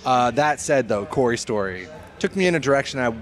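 A man's voice: medium pitch, animated, fairly quick. A man with a mid-range voice hosts a casual chat. He talks in a slangy, playful way and gives his opinions freely. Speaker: show host. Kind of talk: casual chat about horror films.

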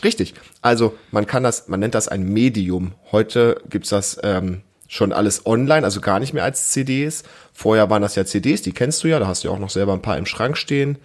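A man's voice: on the low side, brisk, few pauses. A man in his thirties or forties hosting a casual family podcast, chatting encouragingly and explaining things simply to a child. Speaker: podcast host.

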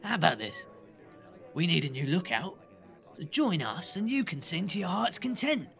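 A man playing a kindly, roguish vagabond recruiter in an audio drama, gravelly voiced, encouraging and jaunty. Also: high voice, big pitch swings, moderate pace, fairly bright tone, faint music behind, heavily acted. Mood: jaunty, persuasive. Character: kindly roguish leader of a vagabond outcast crew.

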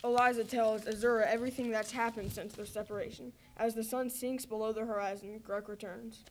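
A woman's voice: fairly high pitch, level tone, brisk, slight background noise, poor audio. A woman narrating an amateur radio drama in a plain storybook manner.

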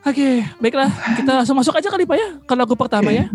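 A man with an Indonesian accent and a soft, imperfect R, hosting a casual podcast in a playful, joking, boastful manner. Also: extremely high pitch, expressive, fast talker, faint music behind. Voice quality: rhotacism, soft R. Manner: playfully boastful, joking, code-switches into English. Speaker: podcast host.

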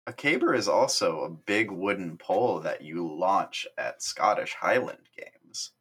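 A man's voice: low voice, lively intonation, measured pace, fairly bright tone, slightly rough. A man co-hosting a podcast in casual chat, self-mocking with absurd jokes and frequent laughter. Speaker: podcast co-host.

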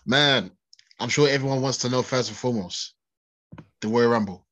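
A man's voice: medium pitch, normal speed, a bit bright. A man co-hosting a casual podcast while snotty with a cold, chatty and laughing often. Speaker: podcast co-host.

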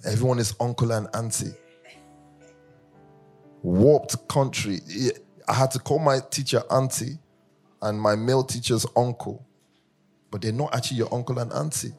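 A man from Nigeria preaching a sermon, telling humorous personal stories in a lively way that rises into sing-song emphasis. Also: medium pitch, normal speed, gravelly, pauses often, faint music behind. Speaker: pastor.

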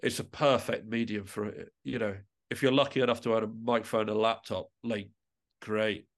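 A man's voice: lowish voice, fairly even intonation, brisk. A man speaking as a musician guest in a casual podcast interview, with an informal, self-deprecating and enthusiastic way of talking.

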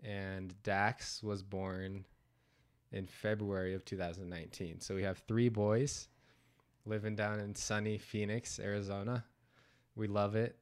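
A man's voice: lowish voice, flat delivery, a little slow, smooth. A man in his thirties, congested today, chatting casually as a podcast guest and laughing often. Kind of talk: casual chat.

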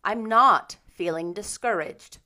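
A woman's voice: on the low side, lively intonation, unhurried, fairly bright tone. A woman voicing a firm, dry, middle-aged country woman in a storybook, resolute in manner.

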